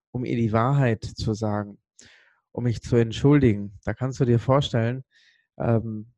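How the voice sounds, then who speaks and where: A man delivers an earnest motivational monologue in a mellow, relaxed voice at a middling pitch. He leans on key words and pauses to let them land. The sound is dry and close, as on a podcast. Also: no room sound. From Sri Lanka. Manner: earnest, emphatic, pausing for weight. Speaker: speaker on a business podcast. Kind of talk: motivational monologue with personal story.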